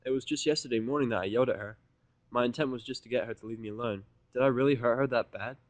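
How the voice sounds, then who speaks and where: Guy narrating a story in first person, medium pitch, deadpan and grumbly, no room echo. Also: fast talker. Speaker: audiodrama reader. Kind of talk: first-person dramatic fan fiction narration.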